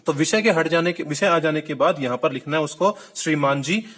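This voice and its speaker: A man's voice: a little high, a little fast, a bit bright. A man giving a video lesson, explaining step by step, repeating key points and asking the viewer questions. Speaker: Hindi teacher. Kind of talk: classroom-style video lesson.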